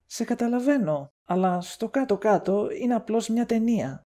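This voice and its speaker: A woman playing a patient, sympathetic female friend in an audio drama, speaking calmly. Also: on the high side, lightly acted. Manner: calm, sympathetic. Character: patient, calm female friend consoling someone.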